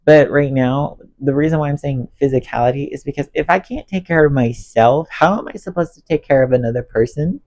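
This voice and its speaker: A man's voice: a little high, brisk, few pauses. A man vlogging a rambling, emotional rant to camera while cleaning his bedroom, congested and losing his voice. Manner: rambling, emotional, self-talk, expressive swings. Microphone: phone on stand under ring light.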